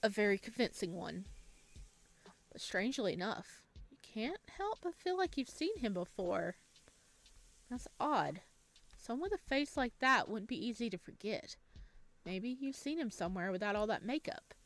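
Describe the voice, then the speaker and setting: A woman hosting a let's play, reading game text aloud and chatting to viewers with bubbly, expressive reactions. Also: mid-range voice, moderate pace. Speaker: gaming video host.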